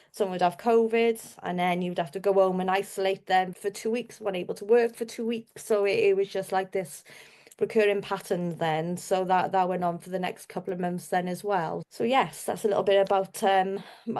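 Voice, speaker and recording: A woman's voice: medium pitch, a little mellow. Podcast guest: Welsh woman, mid-range voice, informal and wordy, recounting personal experience. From Wales. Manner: chatty, rambling, repeats herself. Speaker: podcast guest, business owner. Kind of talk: personal storytelling in an interview.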